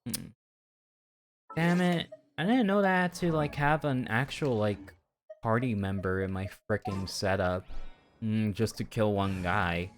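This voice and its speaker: A man's voice: on the low side, a little slow. A man doing casual live game commentary as a streamer, muttering and exclaiming to himself as he plays. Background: game music.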